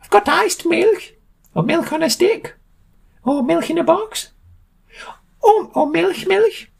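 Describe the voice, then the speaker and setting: Shrieking falsetto milk lady, acted by a man, manic, shifty, very high, storybook character. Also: expressive, slow pace, creaky, exaggerated voice. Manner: mixes French, German and Scots words.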